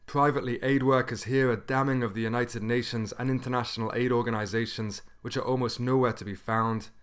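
A man's voice: mid-range voice, fairly even intonation, brisk, clean tone. Serious male news reporter. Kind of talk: news report narration and field interviewing.

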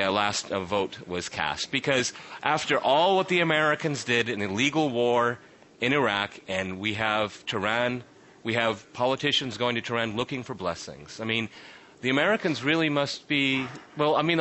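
Man, debate host, bright tone, steers the talk, faint background noise. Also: mid-range voice. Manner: tries to steer the talk, repeats himself. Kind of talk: moderating a panel debate.

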